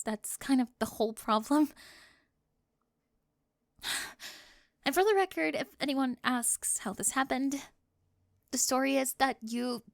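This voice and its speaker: Woman acting a flustered, high-voiced teenage cheerleader. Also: no echo, clean studio sound. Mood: flustered, bossy. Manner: breathy half-whispers, stammering, nervous giggles. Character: flustered teenage cheerleader bully confessing a secret crush. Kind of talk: ASMR roleplay acting.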